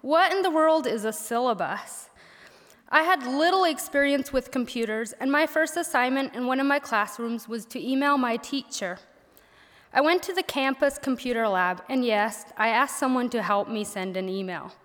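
A young woman from Missouri, a native Pennsylvania Dutch speaker with that accent, reading her prepared senior speech at a college assembly with gentle wry humor. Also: high-pitched, animated, moderate pace. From Missouri, USA. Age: young adult. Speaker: graduating college student. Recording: echoey auditorium sound.

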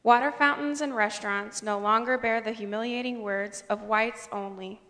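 A woman reading a scripted formal speech in an earnest, measured way. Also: fairly high pitch, steady tone, bright, forceful tone. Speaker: speaker at a convocation. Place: large indoor hall. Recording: reverberant hall.

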